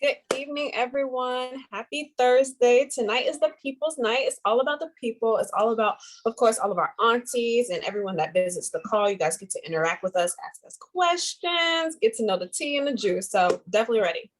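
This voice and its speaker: A woman's voice: on the high side, fairly quick, few pauses, poor audio. A twenty-one-year-old woman speaking casually as a panelist on a live call.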